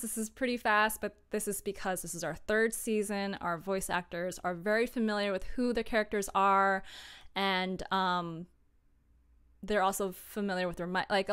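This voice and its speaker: Young female streamer, smooth clear voice, informal and giggly, talking through a work process. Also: medium pitch, fairly bright tone. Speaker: streamer and audio drama producer. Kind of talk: casual chat, explaining her work process.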